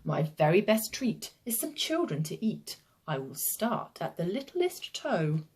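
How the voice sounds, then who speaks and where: A woman's voice: on the low side, very animated, unhurried, darkish tone, husky, heavily acted. A woman voicing a grumpy old male giant, greedy and menacing, chanting in rhyme for a children's storybook. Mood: menacing, gloating.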